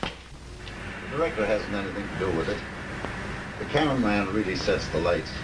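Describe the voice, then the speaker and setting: An actor playing a plainspoken studio electrician who explains things matter-of-factly. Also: male voice, on the low side, animated, moderate pace, natural voice. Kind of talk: 1930s film acting. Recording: hissy 1930s film soundtrack.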